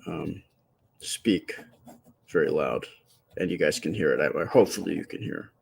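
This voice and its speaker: A man with a quiet, soft voice chatting casually with viewers during a live stream while he draws. He rambles and stops to restart his sentences, speaking close to the microphone. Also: low-pitched, gravelly, husky. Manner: rambling, halting, with many fillers and restarts.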